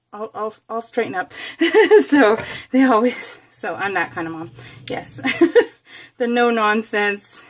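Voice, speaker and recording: A woman's voice: mid-range voice, slight background noise. A middle-aged woman hosting a craft vlog, chatting casually and rambling as she works, often correcting herself and giggling. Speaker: craft video host.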